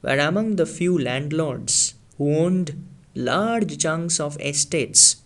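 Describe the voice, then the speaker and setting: A man reading a story aloud, slow and deliberate, sinking lower for the tense moments. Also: expressive. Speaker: story reader. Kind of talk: storybook read-aloud narration.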